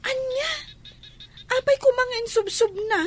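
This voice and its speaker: Radio drama: actress as assertive, caring businesswoman cousin, high-pitched, faint noise behind. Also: measured pace. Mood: concerned. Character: caring, assertive shop-owning cousin, adult woman. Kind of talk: Ilocano radio drama acting.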